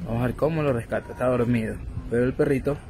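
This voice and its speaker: A man filming a dog rescue on a city street, commenting quietly from behind the camera. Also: medium pitch, steady tone, fast. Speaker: camera operator and companion. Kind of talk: casual commentary while filming. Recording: outdoor phone recording, clear street noise. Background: street traffic.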